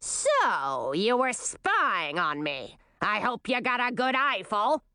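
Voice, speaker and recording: A woman putting on a raspy, sneering hag queen. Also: fairly high pitch, big pitch swings, slow pace, bright, forceful tone, dry recording, clean studio sound, heavily acted. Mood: mocking. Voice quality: raspy, scornful. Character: cruel cursed queen turned hag, mocking.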